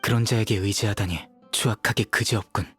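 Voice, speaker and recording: A man playing a stern, disapproving enforcer in a video game, speaking gravely and tersely. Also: on the low side, fairly even intonation, fairly quick. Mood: grim disapproval. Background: background music.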